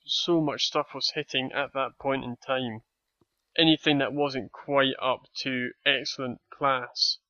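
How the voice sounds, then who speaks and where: A man joins a casual podcast chat over a dull, lo-fi line. His voice is bright and sits at a middle pitch. He speaks in a measured, wry way. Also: no room sound.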